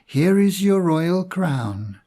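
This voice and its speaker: A man's voice: sits high, big pitch swings, slow, mellow, pitched above the performer's own voice, heavily acted. A man voicing a grave, deferential old priest in a storybook, solemn, courtly and formal.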